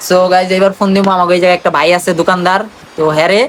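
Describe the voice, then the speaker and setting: A man's voice: sits high, level tone, very fast, fairly bright tone, slight background noise. A young man with a Bangladeshi accent chatting casually as a vlogger, in a teasing, slangy way. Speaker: vlogger making prank calls. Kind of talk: casual vlog chat to viewers and on calls.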